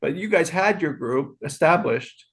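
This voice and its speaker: A man in his fifties co-hosting a podcast, joking about himself while reminiscing about high school. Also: lively intonation, measured pace, breathy edge, poor audio. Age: 50s. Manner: self-deprecating, joking, wry. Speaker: podcast co-host. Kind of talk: casual reminiscing chat.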